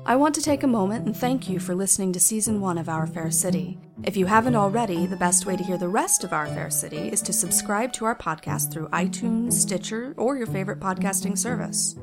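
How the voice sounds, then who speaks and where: A woman giving a crisp, cheerfully corporate closing announcement as a company manager. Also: medium pitch, brisk, hardly any pauses, music in the background, close to an everyday voice. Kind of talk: scripted announcement.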